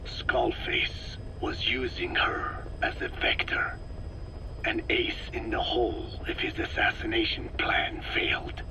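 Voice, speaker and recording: An actor playing a solemn, grave old man in a video game, speaking haltingly in a whispery, gravelly voice. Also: medium pitch, level tone, sharp tone, heavily acted, low-quality recording. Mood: grave, hushed. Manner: slow, deliberate, halting delivery. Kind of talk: dramatic game voice acting.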